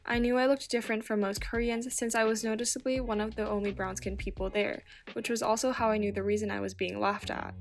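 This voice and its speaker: A woman reading out submitted personal stories in a steady, earnest way. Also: fairly high pitch, fast talker, vocal fry, few pauses. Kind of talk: scripted reading.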